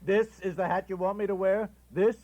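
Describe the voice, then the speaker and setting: High-pitched, indignant American radio husband. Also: male voice, fairly even intonation, normal speed, a little mellow, highs cut off. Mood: sarcastic, sulky. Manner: exasperated, repetitive, emphatic, sulky. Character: stubborn, indignant middle-aged husband fond of his old fishing hat. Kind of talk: 1960s radio comedy sketch acting.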